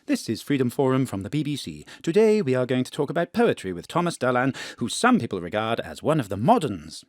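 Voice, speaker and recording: An actor playing a formal, measured radio broadcaster presenting in an old-fashioned style. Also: male voice, mid-range voice, big pitch swings, no room sound, studio quality, lightly acted.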